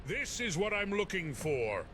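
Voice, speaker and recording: A man's voice: high-pitched, sing-song, measured pace, sharp tone, heavily acted. A man voicing a frantic, paranoid old man in hiding who rambles in fantasy game dialogue. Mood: frantic, urgent. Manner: rambling, agitated.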